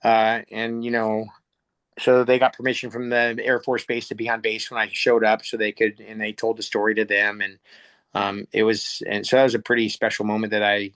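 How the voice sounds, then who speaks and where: A man's voice: fairly low pitch, flat delivery, normal speed, fairly bright tone, poor audio. A middle-aged American man talking casually and reflectively as an interview guest, plain-spoken and often searching for words. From United States. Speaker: interview guest, air show pilot.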